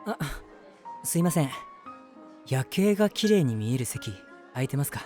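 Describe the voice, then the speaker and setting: Man acting a lively, flustered lovestruck young guy. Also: medium pitch, moderate pace, soft background music, natural voice. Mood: sheepish. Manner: flustered, animated, self-mocking, talking to himself. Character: lovestruck, self-deprecating, easily flustered young man, narrating his crush. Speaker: voice actor. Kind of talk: first-person situation voice drama, chatty narration with acted reactions.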